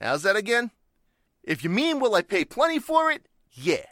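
A man's voice: high voice, huge pitch swings, moderate pace, pushed and bright, dry recording, clean studio sound, exaggerated voice. An actor playing a shady, tough, easily riled racketeer with slangy tough-guy talk in a 1940s radio crime drama. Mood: blunt, cagey.

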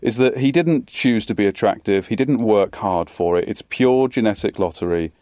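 A man's voice: lowish voice, moderate pace, dark tone, dry recording. Teasing podcast host, man, dry sound. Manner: teasing, probing questions. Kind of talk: casual interview chat.

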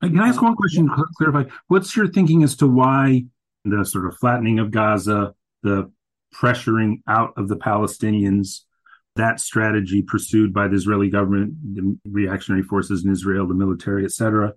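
A man's voice: lowish voice, fairly even intonation, a little fast, rough recording. A man hosting a radio interview, giving brief affirmations and asking probing follow-up questions. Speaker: host.

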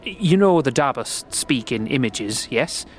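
A man voicing a friendly, gentle, earnest slum dweller in a fantasy audio drama, with a folksy, hesitant way of talking. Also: mid-range voice, animated, a little slow, faint music behind, natural voice. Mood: earnest, informative.

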